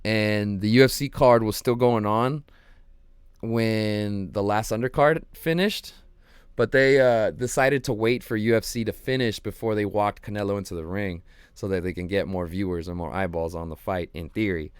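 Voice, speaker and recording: Laid-back low-voiced man rambling casually. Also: normal speed, clean tone, no echo, clean studio sound. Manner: laid-back, rambling, lots of ums and slang. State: slightly hoarse. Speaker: podcast host. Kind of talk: casual solo livestream chat.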